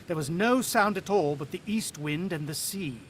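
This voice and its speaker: A man's voice: sits high, moderate pace, a little hiss or hum, natural voice. A man acting in a radio drama as a shaken, earnest, protective father with a hesitant way of explaining himself. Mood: grim, somber. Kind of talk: radio drama acting and first-person narration.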